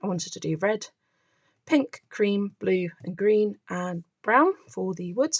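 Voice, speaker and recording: A woman's voice: mid-range voice, lively intonation, slow pace, highs cut off. A woman hosting a colouring channel, chatting enthusiastically through her finished pages while filming the books from above at a table, her voice starting to crack. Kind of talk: casual show-and-tell narration.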